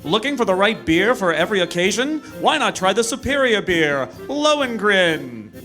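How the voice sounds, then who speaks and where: A man playing a hammy, hearty beer pitchman in a theatrical parody radio advertisement. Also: sing-song, normal speed, pushed and bright, faint music behind, heavily acted. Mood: hearty, selling.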